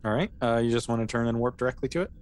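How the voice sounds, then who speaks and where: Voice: mid-range voice, racing, darkish tone. A game master casually improvising narration for a tabletop sci-fi game, in an easygoing, hesitant way, with everyday phrasing.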